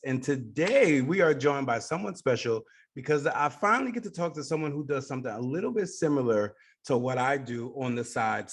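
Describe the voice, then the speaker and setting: A man hosting a radio show, interviewing a guest and repeating phrases for emphasis. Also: mid-range voice.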